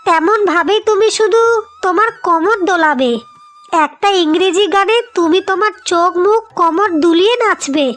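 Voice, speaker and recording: A woman's voice: very high voice, a bit bright. A woman playing a pushy, coaxing younger wife in a cartoon story. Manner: bossy, coaxing. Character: pushy, phone-obsessed younger wife chasing viral fame. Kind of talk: Bengali cartoon story acting.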